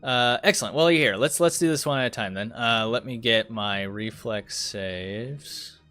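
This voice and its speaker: A man with a bright, clear-cutting voice runs a tabletop roleplaying game. He speaks casually and somewhat slowly, explaining rulings as game master, over faint music. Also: medium pitch, dry recording.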